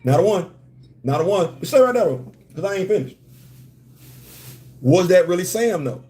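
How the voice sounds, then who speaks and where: A man's voice: high-pitched, lively intonation, moderate pace, warm tone. A man from New York with a slight Southern-tinged accent, hosting a live stream and talking emphatically in slangy, repetitive bursts while greeting viewers. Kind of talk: animated live-stream commentary.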